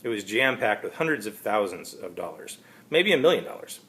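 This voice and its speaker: A man giving an amateur reading of a first-person story, narrating in a halting, wry way. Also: lowish voice, very animated, sharp tone. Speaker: author reading his own story.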